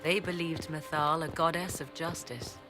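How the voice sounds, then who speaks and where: A woman playing a haughty, learned mystic in a fantasy video game, speaking in formal, measured, archaic phrasing. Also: deep voice, fairly even intonation, close to an everyday voice. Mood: lecturing, solemn. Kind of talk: dramatic video game dialogue. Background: video game music.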